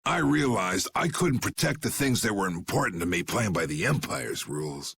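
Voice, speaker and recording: A gruff, commanding old guild chief from a video game. The voice is low and gravelly, swooping widely as he lays down the law in blunt, folksy words. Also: animated, moderate pace, a bit bright, slight background noise. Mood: gruff, wry. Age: older. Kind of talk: dramatic video game voice acting.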